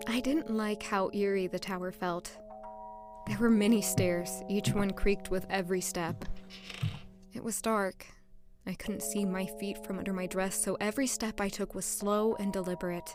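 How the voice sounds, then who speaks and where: A woman acts as a young maid newly made lady-in-waiting to a queen. She is earnest, anxious and given to introspection. Her mid-pitched female voice carries an audio drama over a bed of music. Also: close to an everyday voice. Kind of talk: audio drama dialogue and first-person narration. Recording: music behind the voice.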